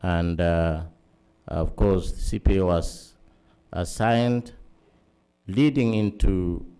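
A middle-aged man with a Sudanese accent, giving formal panel remarks in a halting, earnest way with many fillers, speaking into a table mic in a conference room. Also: sits low, dark tone, clean tone.

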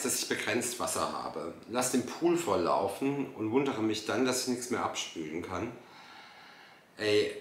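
Male reaction host, thirties, sardonic and exasperated, lower voice, some background noise. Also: fairly bright tone, echoey room. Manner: exasperated, sarcastic. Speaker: host reacting to a TV dinner show. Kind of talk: casual reaction commentary.

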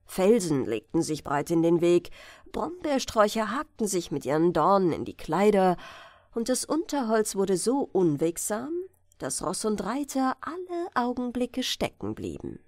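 Unhurried, expressive woman reading fairy tales. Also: mid-range voice, dry recording. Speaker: audiobook narrator.

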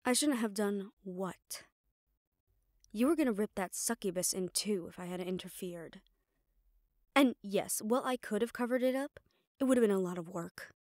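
A woman's voice: mid-range voice, normal speed, a little mellow, no room sound, natural voice. Female actor, mid-range, as a mafia boss's loyal, protective assistant; audio roleplay, firm and reassuring, dry close mic. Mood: concerned, wry, then firm, exasperated. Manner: firm, reassuring, addressing the listener directly. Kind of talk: intimate audio roleplay acting.

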